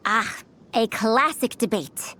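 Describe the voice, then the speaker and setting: Young female mechanics scholar, high voice, animated intonation, articulate, friendly, video game dialogue. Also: slow pace, full character voice. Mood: thoughtful, analytical.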